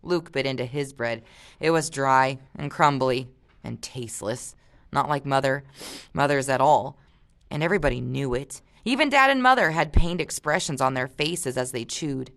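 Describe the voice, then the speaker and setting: A woman reading aloud expressively as a teacher, pausing for casual discussion and guiding questions. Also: extremely low pitch, lively intonation, fairly bright tone. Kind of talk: audiobook-style read-aloud with casual discussion.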